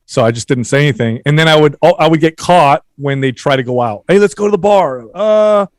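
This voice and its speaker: A man in his forties from San Jose, California, a fitness trainer guesting on a podcast, telling easygoing stories and chiming in with quick agreement. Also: a little high, darkish tone, no room sound, studio quality. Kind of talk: casual chat.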